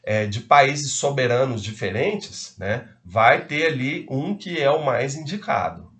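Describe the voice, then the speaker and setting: A man's voice: mid-range voice, animated, unhurried, fairly bright tone. A man giving a casual law lecture, hesitant and full of fillers and tag questions.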